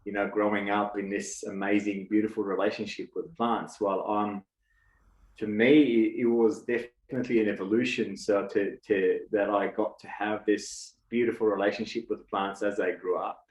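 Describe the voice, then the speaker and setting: A man's voice: fairly low pitch, little pitch movement, moderate pace, echoey room, rough recording. A man from Lebanon, a guest speaker, talking reflectively with many fillers and restarts. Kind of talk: reflective casual talk.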